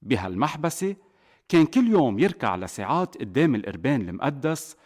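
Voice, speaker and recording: A man with a Lebanese accent narrating a scripted children's story as a father would, in an expressive way. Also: medium pitch, a little fast. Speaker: narrator, a father telling a saint's life to children.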